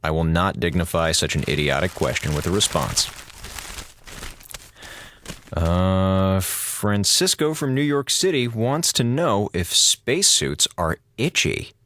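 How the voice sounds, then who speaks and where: A man's voice: low voice, expressive, unhurried, a bit bright, a little hiss or hum. An American man playing a sarcastic, grumbling space station communications officer, flippant and exasperated, delivering a radio drama monologue. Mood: dismissive. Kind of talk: audio drama monologue, mock radio address to schoolchildren.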